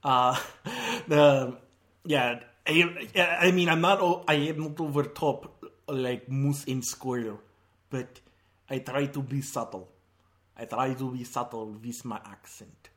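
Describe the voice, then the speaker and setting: A man's voice: mid-range voice, slow, fairly bright tone. A man hosting a movie podcast, chatting casually and rambling with lots of fillers and self-corrections. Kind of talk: casual chat.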